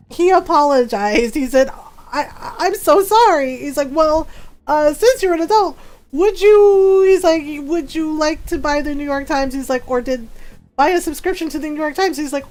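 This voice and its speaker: A woman's voice: high voice, a little mellow. A woman in her forties chatting casually with her stream viewers and laughing often as she tells a story. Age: 40s.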